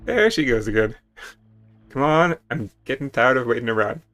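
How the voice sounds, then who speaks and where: A man voicing a brash, impatient young female thief with a rough, taunting way of talking, in a dramatic game dialogue reading. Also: mid-range voice, sing-song, normal speed, a bit bright, soft background music.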